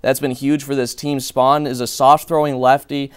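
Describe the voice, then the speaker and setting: A man's voice: mid-range voice, flat delivery, normal speed, clean tone, no room sound. A young man working as a sports analyst in a small TV studio, breaking down games and players confidently and fluently. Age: young adult.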